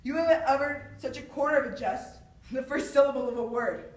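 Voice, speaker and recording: A woman's voice: sits high, fairly quick, lightly acted. A young woman playing a proud, witty, mocking swordsman poet in a theatrical monologue, haughty and taunting as she declaims. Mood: scornful. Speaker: student actor. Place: echoey room, far from the microphone. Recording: roomy echo, distant microphone.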